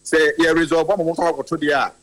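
Male Ghanaian field reporter, a bit high-pitched, halting delivery, radio sound with a hum. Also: fairly even intonation, fairly bright tone, low-quality recording. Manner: halting, many fillers, mixing Twi and English. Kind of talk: live on-site radio report.

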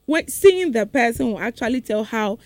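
A woman's voice: a little high, expressive, moderate pace, darkish tone, no room sound. A woman with a Ghanaian accent chatting casually as a panellist, switching between languages as she talks. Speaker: talk show panellist. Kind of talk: casual chat mixing English and Twi.

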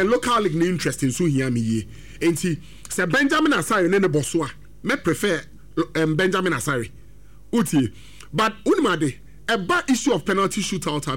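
A man with a Ghanaian accent hosting a football talk segment, animated and switching between English and Twi. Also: high voice. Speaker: sports radio host.

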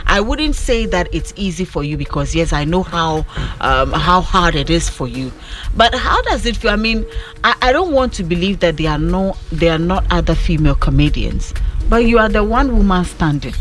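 A woman's voice: on the low side, lively intonation, normal speed. A woman hosting an interview, effusive and repeating her questions, with frequent murmured agreement. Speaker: interview host. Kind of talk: interview conversation.

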